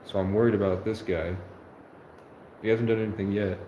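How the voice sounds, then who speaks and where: A man's voice: on the low side, fairly even intonation, a little fast, muffled recording. A man in his twenties rambling earnestly on a live stream, often stopping to correct himself. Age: 20s. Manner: meandering, self-correcting, earnest. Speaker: livestreamer. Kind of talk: rambling livestream monologue. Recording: echoey room with faint noise.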